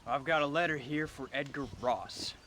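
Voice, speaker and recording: An actor playing a polite, determined young American cowboy in a game, speaking plainly. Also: male voice, fairly high pitch, moderate pace, bright, forceful tone, slight background noise. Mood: polite, purposeful. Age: young adult. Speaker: video game character. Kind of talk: game cutscene dialogue.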